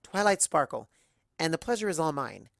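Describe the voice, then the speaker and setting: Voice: medium pitch, very animated, moderate pace, vocal fry, dry recording, studio quality, voice pushed up. A performer voicing an earnest, polite, somewhat puzzled young unicorn mare in a storybook reading, hesitant and courteous.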